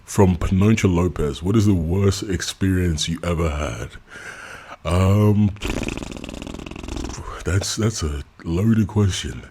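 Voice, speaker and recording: A man's voice: sits low, slow, pauses often, background noise. A man from England, hosting a casual subscriber Q&A and giving candid, blunt answers with frequent laughs. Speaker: YouTube channel host.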